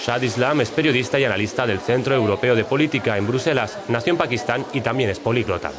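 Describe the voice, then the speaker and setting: Brisk male news narrator. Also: medium pitch, music in the background. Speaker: news presenter. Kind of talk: scripted narration.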